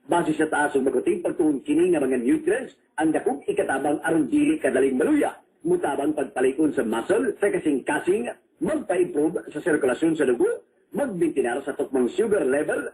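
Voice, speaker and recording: Animated Cebuano radio announcer, higher-pitched man. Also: rough recording. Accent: Cebuano Filipino. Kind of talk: scripted advertising narration.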